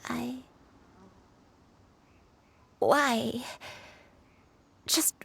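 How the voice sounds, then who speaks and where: Slow, breathy, frail old grandmother. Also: fairly high pitch, fairly even intonation, a bit bright, full character voice. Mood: tender, wistful. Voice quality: breathy, often dropping to a whisper. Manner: slow, halting, tender and wistful. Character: frail, gentle old grandmother haunted by lost memories. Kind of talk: dramatic game voice acting.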